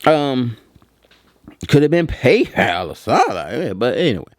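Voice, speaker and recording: A man's voice: medium pitch, big pitch swings, unhurried, dry recording, clean studio sound. A man talking casually and slangily to his viewers, telling a rambling story and acting out bits of dialogue. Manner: slangy, conversational, acts out quoted dialogue. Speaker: print shop owner telling a story to viewers.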